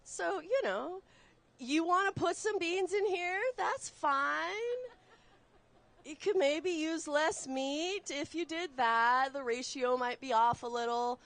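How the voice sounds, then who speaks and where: Woman chef, Texas-raised, live cooking demo, chatty, laughs easily, slightly high voice. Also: slow pace, fairly bright tone. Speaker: guest chef. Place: studio kitchen.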